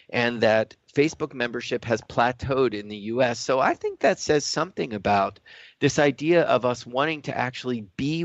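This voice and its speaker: A man's voice: mid-range voice, dry recording. Man talking reflectively, dry dull sound. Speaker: radio show co-host. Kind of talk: reflective conversational radio discussion.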